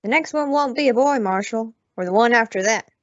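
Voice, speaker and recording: Young lady as bitter old west widow, curt n scornful, highish voice, dry muffled sound. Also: a little fast, dry recording, lightly acted. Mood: bitter, hard. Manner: curt, scornful, rustic grammar. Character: bitter, hard, vengeful old frontier widow. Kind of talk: old-time radio Western drama acting. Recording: dull, dry lo-fi recording.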